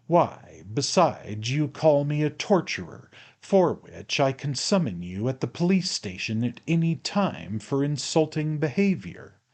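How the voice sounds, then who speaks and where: A man as an insolent, unhurried, composed manservant. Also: fairly high pitch, voice pushed up. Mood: cool, defiant. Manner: deliberate, severe, stubbornly calm. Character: composed, insolent, self-confident manservant, coolly unimpressed. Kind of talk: audiobook character acting.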